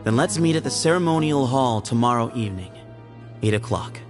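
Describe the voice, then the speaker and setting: An actor playing a solemn, pragmatic temple heir in a video game, speaking in a formal, measured way. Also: male voice, mid-range voice, lively intonation, faint music behind, natural voice. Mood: firm, formal.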